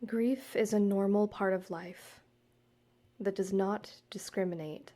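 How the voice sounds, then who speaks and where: Slow, mellow woman guiding meditation. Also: mid-range voice, fairly even intonation. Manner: measured, soothing, deliberate pauses. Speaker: guided meditation host, counselor and yoga teacher. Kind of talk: gentle guided meditation narration, addressed to the listener.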